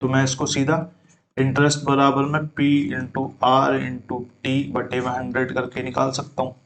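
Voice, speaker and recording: A man's voice: mid-range voice, monotone, measured pace, a crowd in the background, low-quality recording. A young man teaching an exam-prep math lesson, talking students through each step and calling them brother.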